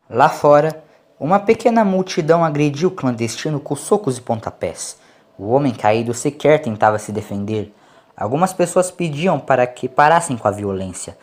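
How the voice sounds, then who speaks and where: A man's voice: mid-range voice, a little mellow. A man reads a dramatic scripted story as an audiobook narrator. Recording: clear background noise, ambient sound.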